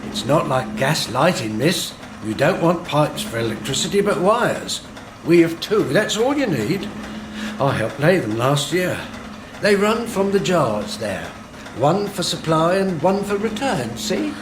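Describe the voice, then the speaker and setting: A man's voice: on the high side, big pitch swings, unhurried, reverberant, background noise. An actor playing a deferential working-class handyman and electrical engineer in a radio drama, chatty and proud of his work. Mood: proud, friendly. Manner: deferential, chatty, says "Mum".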